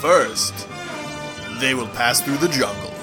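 A man's voice: mid-range voice, lively intonation, takes it slowly, over loud music. A man co-hosting a comedy dramatic reading, delivering character lines and joking asides. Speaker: podcast co-host reading character parts. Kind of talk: comic dramatic reading and banter.

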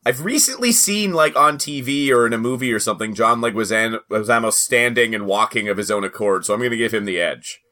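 A man's voice: mid-range voice, quick pace, few pauses, bright, forceful tone. A man co-hosting a podcast in casual comedic banter. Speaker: podcast co-host.

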